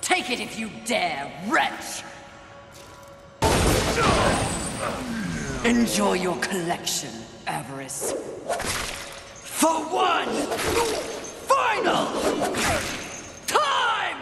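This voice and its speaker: A ruthless female warrior for a dramatic video game. She speaks slowly and sardonically, with sweeping intonation and total command. Her voice rings through a reverberant hall with music behind it. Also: on the high side, huge pitch swings, vocal fry, exaggerated voice. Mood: defiant, fierce. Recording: echoey hall reverb under loud music.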